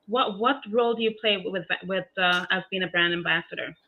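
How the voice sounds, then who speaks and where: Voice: mid-range voice, a little fast, phone-quality sound. A podcast host interviewing a guest, asking questions and agreeing often along the way. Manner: encouraging, lots of agreeing backchannels. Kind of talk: casual interview chat.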